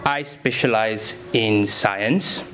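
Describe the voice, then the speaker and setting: A man's voice: lowish voice, slow pace, pushed and bright, slight background noise. A young man, answering interview questions as a science teacher in simple, enthusiastic phrases.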